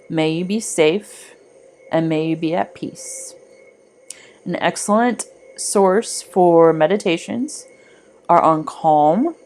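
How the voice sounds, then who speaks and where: A woman leads a guided meditation at a very unhurried pace. Her voice sits somewhat low and moves widely in pitch as she gives gentle, deliberate instructions in a soothing manner. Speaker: meditation guide, art teacher. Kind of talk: guided meditation narration, scripted.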